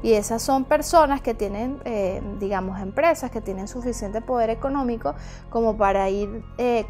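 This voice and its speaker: A woman speaks as an expert in an interview, laying out points step by step for the listener. Her voice is on the high side, with quiet music behind it. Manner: didactic, addresses the listener with examples. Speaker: human rights observatory expert. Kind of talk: explanatory interview commentary.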